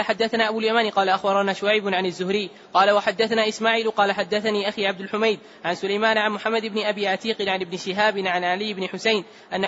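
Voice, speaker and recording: A man's voice: high-pitched, fairly bright tone, highs cut off. A man reading a religious text aloud in formal Arabic, as a student before a teacher. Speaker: student reader.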